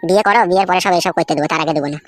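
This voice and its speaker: A man's voice: high voice, racing, natural voice. A man voicing a harried, foul-mouthed husband of two wives in a village comedy cartoon, grumbling and cursing. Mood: rueful, grumbling. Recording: music behind the voice.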